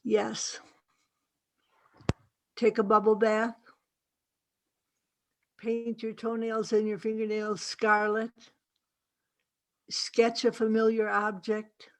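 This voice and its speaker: Slow, deliberate woman author. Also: a little high, completely flat delivery. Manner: slow, deliberate and emphatic, with pauses. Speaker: author answering questions. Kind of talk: virtual book event conversation. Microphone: video call.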